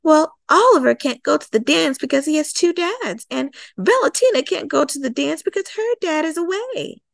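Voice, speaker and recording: A woman's voice: high voice, expressive, normal speed, gravelly, hardly any pauses, no room sound, voice pushed up. A woman voicing an outspoken, fair-minded little schoolgirl in a children's storybook, emphatic and insistent. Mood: indignant. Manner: emphatic, bright-eyed, insistent.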